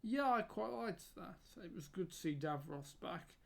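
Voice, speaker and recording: A man's voice: sits high, lively intonation, measured pace, creaky. A man giving a casual spoken review, hesitant with many fillers and repeated words, losing his voice. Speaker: YouTube reviewer.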